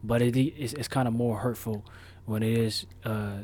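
A man's voice: medium pitch, steady tone, moderate pace, mellow. A man co-hosting a podcast in casual chat, rambling with repeated words and slang. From Florida. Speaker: podcast co-host.

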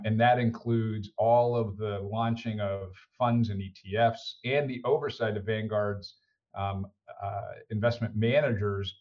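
A middle-aged American man with a fairly low voice answers as an executive guest on a podcast. He talks conversationally, pausing often as he finds his words. The recording is slightly dull. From United States. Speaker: interview guest, senior executive.

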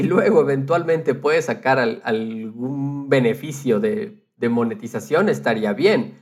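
A man talking casually as a guest on a podcast about his field. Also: mid-range voice. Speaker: podcast guest, audio producer.

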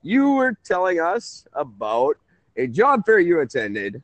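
A man hosting a conversational call-in radio show, halting and often interrupting himself. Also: on the high side, huge pitch swings, no echo. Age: 30s.

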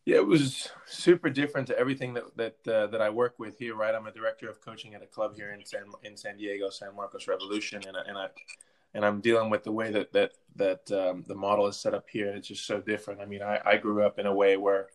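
A man with a fairly low voice answers interview questions as a coach. His intonation stays very flat. He talks informally, often doubling back on his words. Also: fast talker. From Belgium. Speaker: interview guest, soccer coach and team captain. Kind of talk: conversational interview.

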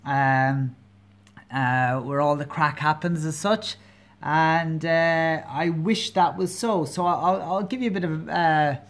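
Earnest, hesitant Irish man with a Kerry lilt. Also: fairly high pitch. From Ireland. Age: 40s. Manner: hesitant and earnest, many pauses and fillers; candid, openly personal. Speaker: podcast host. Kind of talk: solo podcast monologue.

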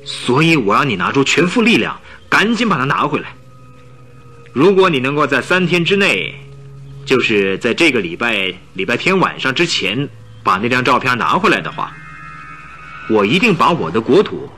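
Young male king, imperious, urgent, sharp bright tone, animated swings, radio drama. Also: mid-range voice, normal speed, faint music behind. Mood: urgent, indignant. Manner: emphatic, imperious. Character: proud, anxious young king of Bohemia, urgent and indignant.

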